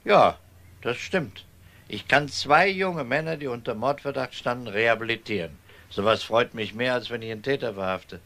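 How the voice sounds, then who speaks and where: A man playing a calm, unhurried police detective in a German crime radio drama, dry and politely ironic. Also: medium pitch. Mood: wry.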